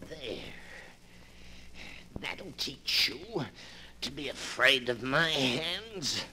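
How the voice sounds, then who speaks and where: An actor playing a tormented, murderous escaped convict in a 1940s radio drama, brooding and menacing with intense outbursts. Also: male voice, medium pitch, very animated, very slow, sharp tone, full character voice. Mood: anguished. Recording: noisy 1940s radio recording.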